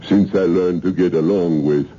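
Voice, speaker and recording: An actor playing a big, genial but sinister island castaway with a Caribbean accent and simple dialect phrasing in a 1970s radio drama. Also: male voice, low-pitched, steady tone, moderate pace, dark tone. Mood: slow storytelling. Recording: muffled old radio broadcast.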